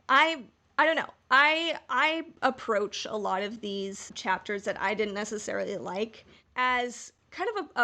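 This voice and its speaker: Higher-voiced, candid woman chatting. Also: pushed and bright. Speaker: podcast host. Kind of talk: casual fan discussion.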